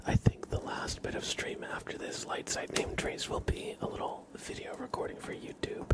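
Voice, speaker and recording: A man hosting an ASMR livestream, whispering softly and slowly to his viewers in chat, close to the microphone. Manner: soft, slow, unhurried, chatting to viewers. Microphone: close microphone, leaned into.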